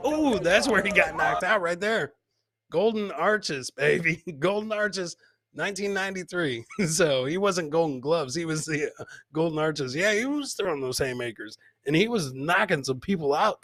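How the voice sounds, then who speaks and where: An American man hosting a comedy podcast, loudly commenting on funny clips in a boisterous way, swearing freely and throwing out catchphrases. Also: fairly high pitch, dry recording.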